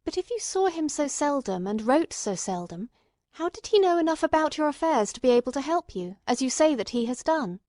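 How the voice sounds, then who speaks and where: Courteous but insistent gentleman investigator, read by a woman: highish voice, formal questioning, dry storybook sound. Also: big pitch swings, fairly quick, dry recording. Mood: probing. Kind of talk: dramatic audiobook character reading.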